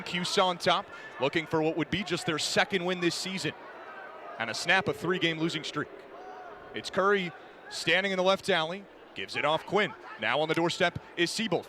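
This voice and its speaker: A man giving an energetic, descriptive live radio play-by-play commentary of a lacrosse game. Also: high voice. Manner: energetic, descriptive running call of the action. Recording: noisy live broadcast, game crowd behind. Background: crowd and ambient game noise.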